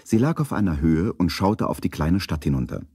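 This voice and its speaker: A man narrating a children's story in a calm, scripted 1970s radio play style. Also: deep voice, a little fast, slightly rough, no room sound, clean studio sound, full character voice.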